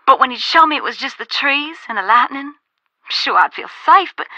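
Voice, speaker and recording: An actress playing a folksy, wistful ghost-hunting author from a video game, with a twangy Georgia accent. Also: female voice, high-pitched, sharp tone, no echo, highs cut off. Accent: Southern US, Georgia. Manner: folksy, storytelling. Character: wistful Southern woman ghost hunter and author.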